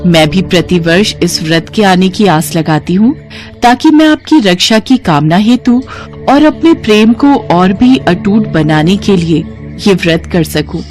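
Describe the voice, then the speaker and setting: Storybook goddess, a woman performing: devoted wife, gracious, reverent, expressive mid-range delivery over music. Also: medium pitch, a little slow, close to an everyday voice. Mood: devoted, earnest.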